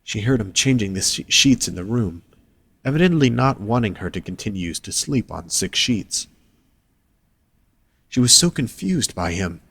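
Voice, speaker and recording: Male narrator, low pitch, dry close sound, scripted storytelling. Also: big pitch swings, normal speed, gravelly, a bit bright, husky, no echo.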